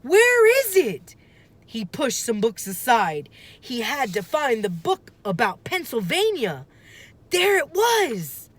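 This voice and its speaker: A woman reading a children's book aloud with expressive, deliberate, dramatic phrasing. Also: a little high. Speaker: storybook reader.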